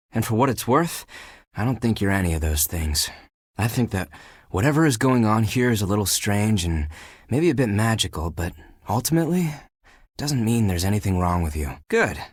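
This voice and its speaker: A man voicing a flirty, laid-back but insecure living pile of laundry in a dating video game, talking in a casual, teasing, breathy way. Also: fairly low pitch, no echo, clean studio sound.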